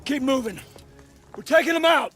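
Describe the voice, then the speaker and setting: High-voiced, principled army lieutenant. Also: male voice, normal speed, noisy background. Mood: outraged. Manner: firm, earnest, authoritative. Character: principled male army lieutenant, protective of his men. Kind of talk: war game cutscene acting. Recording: music under the voice.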